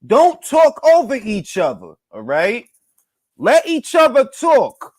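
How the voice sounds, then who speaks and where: A man's voice: very high voice, huge pitch swings, slow pace, dry recording. A young man hosting a casual boxing talk stream, bantering with loose, profane energy. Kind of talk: casual live-stream chat with viewers.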